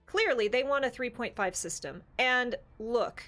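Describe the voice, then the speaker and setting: A woman co-hosting a casual tabletop gaming discussion, talking in an animated, opinionated, conversational way. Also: a little high, unhurried, soft background music. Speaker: podcast co-host.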